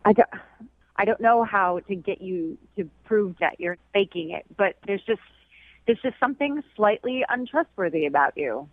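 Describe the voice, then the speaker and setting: A woman's voice: mid-range voice, expressive, normal speed, no echo, narrow, tinny audio. A woman, a caller on a radio dating segment, talking casually in a blunt, sarcastic, skeptical way. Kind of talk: argumentative casual phone chat.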